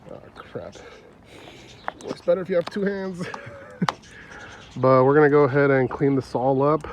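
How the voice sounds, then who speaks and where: A man's voice: on the high side, normal speed, background noise. Congested man vlogging outdoors. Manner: relaxed, chatty, laughs often. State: congested, stuffy nose. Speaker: car hobbyist vlogger. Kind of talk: casual how-to vlog narration. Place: outdoors in a yard. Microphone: phone held while working.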